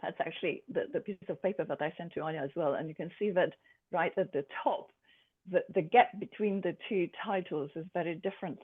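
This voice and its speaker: A speaker born in Poland, an artist presenting her own work in a thoughtful, halting way with many pauses and fillers. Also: mid-range voice, husky. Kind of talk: art presentation to a group. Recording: tinny phone-like sound.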